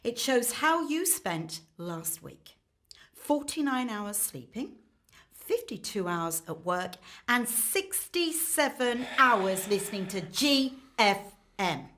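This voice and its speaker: A woman's voice: fairly high pitch, big pitch swings, takes it slowly, fairly bright tone, heavily acted. A woman playing an exasperated, worried wife, reproachful and insistent, in a radio comedy. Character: exasperated, worried wife delivering firm ultimatums.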